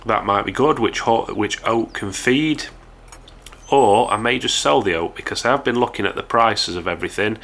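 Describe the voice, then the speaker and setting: A young man from England with a hoarse voice from a cold, chatting casually to viewers while commentating a game. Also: on the low side, moderate pace. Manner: chatty, relaxed, talks straight to viewers. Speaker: gaming YouTuber.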